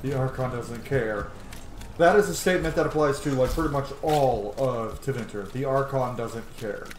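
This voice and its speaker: A man's voice: mid-range voice, normal speed, background noise. A man in his thirties hosting a casual, sweary let's play from a room at home. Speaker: gaming channel host. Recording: echoey room, game music behind. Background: game music.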